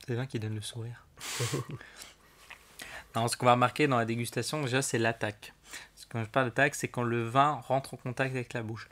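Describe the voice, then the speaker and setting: A man's voice: mid-range voice, fairly even intonation, brisk, pauses often, fairly bright tone. A young man, a sommelier, explaining wine tasting in an enthusiastic, teaching manner during an interview. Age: young adult. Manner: enthusiastic and didactic, often speeds up. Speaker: sommelier guest.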